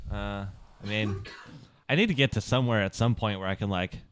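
A man's voice: on the low side, fairly quick, a little hiss or hum. Blustery man playing a tabletop game. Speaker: player. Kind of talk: casual tabletop play.

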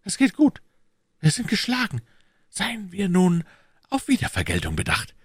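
An imperious male cardinal from an audiobook. The voice is pitched strikingly high and swings widely. He questions briskly and commands keenly, in a dry recording. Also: huge pitch swings, slightly rough, no echo, exaggerated voice. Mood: eager, sharp. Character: imperious, keen, commanding cardinal, adult man. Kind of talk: audiobook character dialogue.

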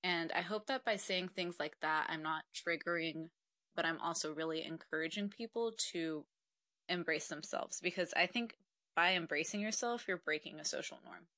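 Woman guest, twenties, from Mexico; low-ish, bright and dry, reflective, earnest. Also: normal speed, no echo. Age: 20s. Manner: reflective, earnest, with frequent ums. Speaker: podcast guest. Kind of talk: casual interview conversation.

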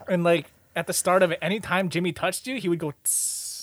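Higher-voiced young man, casual and slangy. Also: fairly quick, a crowd in the background. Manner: animated storyteller, slangy and profane. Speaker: podcast host. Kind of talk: casual chat, reminiscing with friends.

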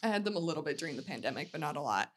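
A woman's voice: sits low, big pitch swings, very fast. A woman in her thirties chatting candidly and casually as a comedian guest on a podcast, often saying "like". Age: 30s.

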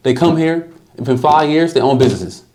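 A man's voice: on the high side, fairly quick, darkish tone, a little hiss or hum. A man talking as a podcast guest, emphatic and motivational, often repeating words as he drives his point home. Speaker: guest, insurance business owner. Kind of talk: casual podcast conversation.

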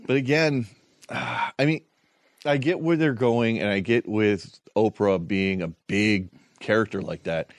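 Low-voiced man in rambling chat. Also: a little slow, no room sound, studio quality. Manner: rambling, self-deprecating, frequent restarts. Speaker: podcast co-host. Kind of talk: casual chat.

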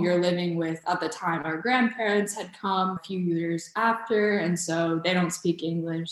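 A woman in her twenties from the American South, talking through her family story in a relaxed, chatty way with fillers and light laughs. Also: on the low side. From Atlanta, USA. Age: 20s. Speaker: guest. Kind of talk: casual conversational interview. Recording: roomy echo.

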